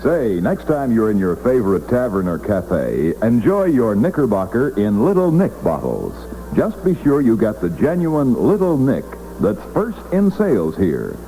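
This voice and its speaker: A man's voice: medium pitch, huge pitch swings, unhurried, few pauses, mellow, soft background music, rough recording. A man announcing on 1960s radio in a scripted commercial style. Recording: vintage 1960s radio broadcast.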